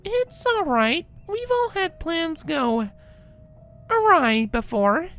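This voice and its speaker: A gentle female pony princess as a male storyteller puts her on. The voice is very high and slow-paced, with a hesitant, soothing manner. Faint music plays behind this storybook character. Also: very animated, heavily acted. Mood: awkward soothing.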